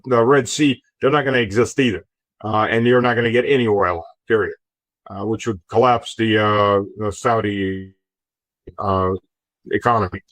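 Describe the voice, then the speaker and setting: A man giving informal political analysis as an interview guest, speaking haltingly with frequent hesitations. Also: mid-range voice, no echo.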